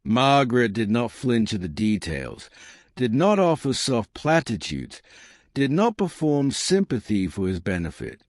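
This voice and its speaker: A man reading a historical romance as scripted narration, in measured, deliberate, literary phrasing. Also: medium pitch, sing-song, no room sound, clean studio sound. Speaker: audiobook narrator.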